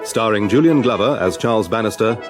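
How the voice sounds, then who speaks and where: A man's voice: fairly low pitch, expressive, a little fast. A man with a British accent reading formal scripted credits as a radio drama announcer. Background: orchestral music.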